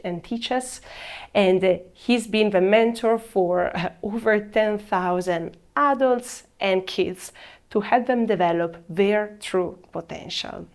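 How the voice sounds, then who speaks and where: A woman in her thirties from Italy with an Italian accent, giving a measured presentation that slows down to stress key words. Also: medium pitch, a little mellow. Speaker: program presenter. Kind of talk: scripted presentation.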